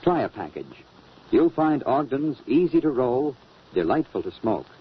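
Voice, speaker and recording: A man announcing an old-time radio show, delivering a scripted introduction and sponsor pitch on a muffled old recording. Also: sing-song, unhurried, breathy edge.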